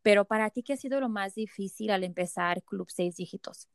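A woman hosts a conversational interview with an eager, effusive manner, circling back to repeat her points. Her voice sits at a middle pitch and sounds dry and close to the mic. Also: a little fast, clear, resonant tone, dry recording. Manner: enthusiastic, effusive, repeats phrases. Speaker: podcast host.

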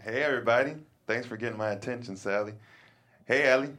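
An actor at a table read playing a relaxed, cocky young college frat boy. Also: mid-range voice, fast talker, close to an everyday voice. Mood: laid-back. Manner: laid-back, cocky.

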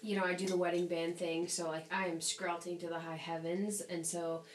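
A young woman chats easily as a guest, her voice sitting a bit low and a little nasal from a recent cold. She talks in a loose, lively run full of "like"s and bursts of laughter. The room rings with echo and a faint hum sits underneath. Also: moderate pace. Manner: chatty, animated, laughs often, many "like"s. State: slightly nasal from recent sickness. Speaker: podcast guest, singer. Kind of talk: casual conversation.

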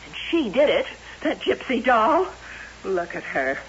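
An actress playing a jealous, pious, hysterical scorned wife, accusing and melodramatic, in an old-time radio drama. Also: female voice, on the high side, big pitch swings, measured pace. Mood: righteous fury. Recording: muffled, hissy old radio recording.

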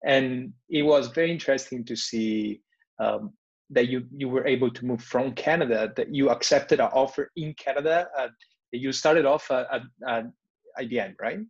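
Halting young European man, gravelly voice. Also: medium pitch. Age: young adult. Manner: halting, repeats words. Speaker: interviewer, computer science student. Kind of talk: interview questions. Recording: dull lo-fi sound.

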